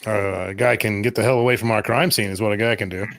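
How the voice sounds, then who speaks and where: A man playing a curt, impatient police officer in a tabletop roleplay, gruff and official. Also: on the low side, steady tone, fast, fairly bright tone, no room sound, studio quality. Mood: irritated. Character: curt, impatient male police officer guarding crime scene.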